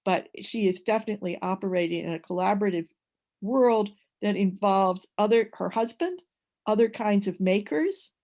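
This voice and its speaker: A woman on an academic panel, talking in a measured, hesitant way with many filler sounds over a video call. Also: medium pitch, darkish tone.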